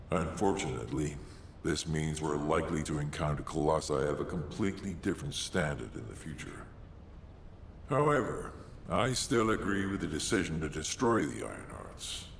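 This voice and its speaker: An actor playing a solemn, wise male guide of warriors in a video game, speaking in a grave, measured, formal way. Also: rumbling low, creaky, heavily acted. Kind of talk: scripted video game dialogue. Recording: roomy long reverb, faint noise.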